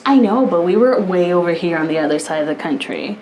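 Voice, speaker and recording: A woman's voice: fairly low pitch, lively intonation, fairly quick, darkish tone, a little hiss or hum. A woman in her early thirties co-hosting a podcast in casual chat, dry and wry, often asking questions. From United States. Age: 30s. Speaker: podcast co-host.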